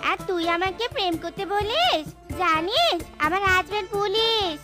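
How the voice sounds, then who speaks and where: Brief: sharp-tongued cartoon wife, high and scolding, played by a woman, loud music. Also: expressive, normal speed. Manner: scolding, indignant. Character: married young woman, scornful and sharp-tongued.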